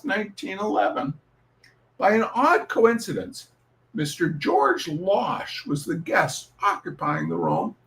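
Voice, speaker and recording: A man's voice: high-pitched, gravelly. A man hosting and reading humour in a theatrical, showman-like way, with emphatic repetition and an informal, rambling streak. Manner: showman-like, emphatic, repeats phrases. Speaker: host reading humour pieces.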